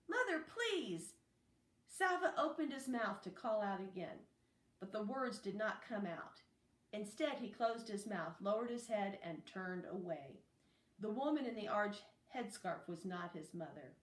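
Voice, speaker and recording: A woman reading a children's novel aloud as narration, in a deliberate, phrase-by-phrase way. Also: medium pitch. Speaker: audiobook reader. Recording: roomy, echoey, distant microphone.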